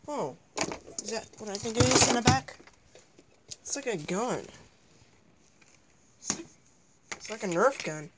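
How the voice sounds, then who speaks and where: A woman's voice: on the high side, animated, a little slow, pauses often, very noisy. A woman filming a ghost-hunting vlog on a handheld phone inside a dim house, exclaiming and repeating herself as she looks around. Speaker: vlogger exploring a house. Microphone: handheld phone camera.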